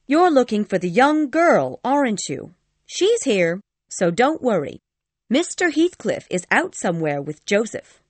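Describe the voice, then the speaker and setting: A woman acts a plain-spoken storybook housemaid, firm and unafraid. She is matter-of-fact but lively in her intonation, recorded dry and close. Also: fairly high pitch, unhurried, dry recording. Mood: reassuring. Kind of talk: dramatised audiobook dialogue.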